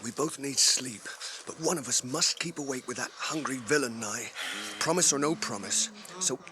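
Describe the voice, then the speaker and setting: A man's voice: mid-range voice, normal speed, close to an everyday voice. A man playing a loyal, suspicious, plain-spoken hobbit servant in a radio drama, homely, blunt and grumbling. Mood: wary, homely. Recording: faint noise in 1980s radio drama.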